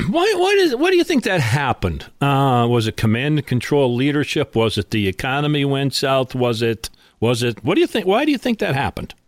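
Male interviewer, lively swooping intonation, informal conversational delivery, dry studio-close sound. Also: mid-range voice, normal speed, no echo. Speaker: interview host. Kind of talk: conversational business show interview.

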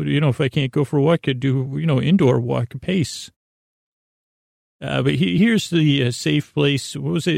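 A man hosting a bedtime sleep podcast, rambling in a halting, stammering way and often trailing off mid-thought. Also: medium pitch, darkish tone, no room sound, studio quality. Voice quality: gravelly, creaky. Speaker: podcast host.

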